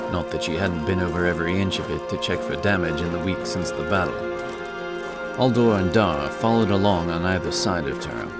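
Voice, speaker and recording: Male narrator for fantasy audiobook, very low voice, music underneath. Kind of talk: scripted fantasy story narration. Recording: music behind the voice.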